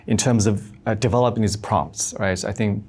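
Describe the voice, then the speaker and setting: A man with a fairly low, creaky voice moderates an online conversation. He puts his questions haltingly, piecing them together in short phrases as an interviewer. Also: fairly even intonation, darkish tone, a little hiss or hum. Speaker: interviewer, academic host.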